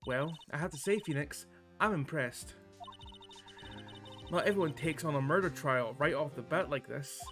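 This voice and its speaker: A man voicing a composed, approving female law firm boss in a video game, in a measured way. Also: on the high side, over music, natural voice. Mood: impressed.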